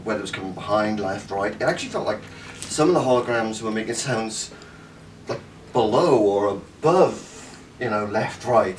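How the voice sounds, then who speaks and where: Cardiff Welsh man, enthusiastic, distant mic. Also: on the low side. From Wales. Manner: enthusiastic, rambling with fillers, gesturing. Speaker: tech reviewer. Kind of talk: casual product review. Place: home living room. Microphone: camera mic from a few metres. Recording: distant camera mic, faint room noise.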